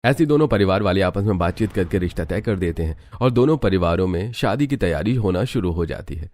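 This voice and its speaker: A man's voice: low-pitched, lively intonation, fairly quick, darkish tone. A man narrating a scripted cartoon family story.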